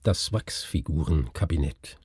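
Guy reading a spooky literary story, dark mellow voice, really husky, dramatic. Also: very deep voice, lively intonation, unhurried, no echo, clean studio sound.

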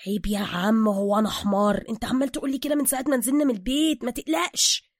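A woman voicing an excitable, touchy but loyal streetwise man with a slangy Egyptian accent, in an audio story. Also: sits high, very fast, no room sound, clean studio sound, voice pushed up, close to an everyday voice. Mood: cocky, impressed. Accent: Egyptian, colloquial Cairene. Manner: gushing, slangy, defensive.

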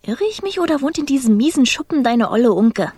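A woman's voice: sits high, animated, brisk, mellow, breathy edge, no echo, studio quality. A woman voicing a cheeky, squeamish little mouse princess with a snippy, sarcastic manner in a children's radio play. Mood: disgusted, snippy.

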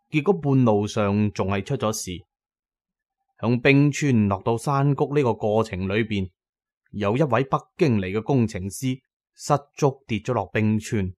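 A man's voice: lowish voice, darkish tone. A man with a Cantonese accent narrating an adventure novel as scripted, dramatic storytelling. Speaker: audiobook narrator.

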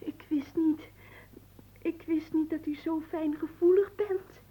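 An actress in a Dutch radio play playing a naive, coy young chambermaid, hesitant, breathless and plaintive. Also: female voice, very high voice, fairly even intonation, slow pace, mellow, rough recording. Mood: enchanted.

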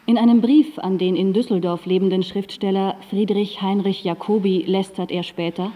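A woman narrating a radio play as a composed, erudite guide, with measured, articulate and factual delivery. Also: medium pitch, steady tone, dark tone, in a large hall, lightly acted.

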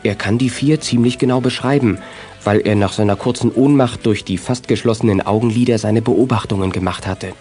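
A man's voice: mid-range voice, darkish tone, husky. A man reading scripted narration as the narrator of a children's audio drama. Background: music.

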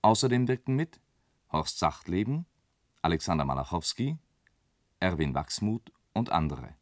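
A man announcing scripted radio play credits in a formal, measured manner. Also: low-pitched, very animated, dry recording, clean studio sound.

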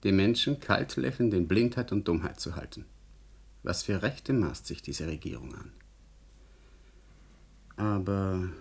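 An actor playing an earnest, defiant young scientist in a German radio drama. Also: male voice, low-pitched, heavily acted. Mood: indignant. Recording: clearly noisy vintage radio drama recording.

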